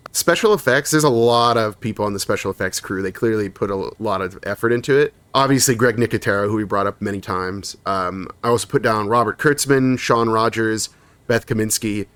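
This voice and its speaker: A man's voice: lowish voice, brisk, a bit bright, smooth, no room sound. A man co-hosting a podcast in casual chat, reading long lists of credits with frequent laughs and fillers. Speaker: podcast co-host.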